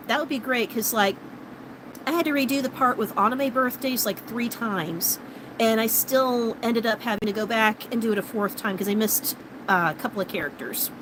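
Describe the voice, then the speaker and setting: Late-30s woman vlogger, rambling and casual, fairly high voice going a bit hoarse, noisy background. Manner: rambling, chatty, many fillers and restarts. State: starting to lose her voice. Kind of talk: casual chat with live viewers.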